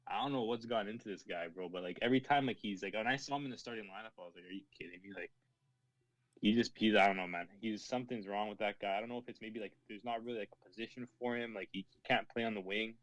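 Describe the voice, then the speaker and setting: Low-voiced young man talking football casually. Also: fast talker, a bit bright, no echo, highs cut off. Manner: slangy, peppered with "like" and "bro". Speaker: football podcast co-host. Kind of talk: casual sports chat among friends.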